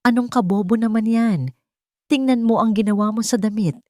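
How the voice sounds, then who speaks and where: A woman narrator playing a spiteful society lady in an audio love drama. She scolds with open contempt, lifting her pitch above a dry, close recording. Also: moderate pace, mellow, dry recording, close to an everyday voice. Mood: scornful. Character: haughty, spiteful rich young society woman.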